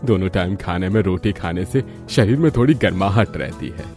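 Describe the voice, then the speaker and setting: A man plays an elderly father-in-law in a village family cartoon. His voice is deep and his advice measured and instructive, with faint music underneath. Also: lively intonation, a little mellow. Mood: advising. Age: older. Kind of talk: Hindi family cartoon voice acting.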